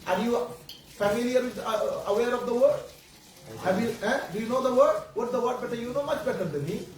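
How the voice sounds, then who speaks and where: A man whose first language is Bengali, preaching a sermon to children in English, halting and repeating himself, often asking the audience questions. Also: extremely high pitch. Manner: halting, repetitive, searching for words. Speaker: Islamic preacher. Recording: echoey room, distant mic, faint background noise.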